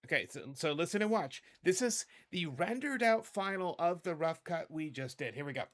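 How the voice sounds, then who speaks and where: Hoarse, chatty man teaching editing. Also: fairly high pitch, lively intonation, normal speed. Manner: chatty, rambling, self-correcting. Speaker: video editing tutorial host. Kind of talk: casual screen-recorded tutorial.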